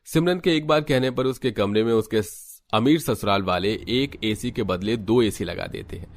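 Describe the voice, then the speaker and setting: A man's voice: lowish voice, normal speed. A man reading scripted narration for a cartoon story as the storyteller.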